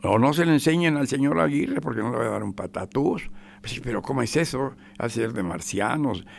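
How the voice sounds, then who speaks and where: A man's voice: medium pitch, lively intonation, a little fast, vocal fry. A man with a Mexican accent giving sarcastic radio commentary, emphatic and repetitive, sometimes dropping to a whisper.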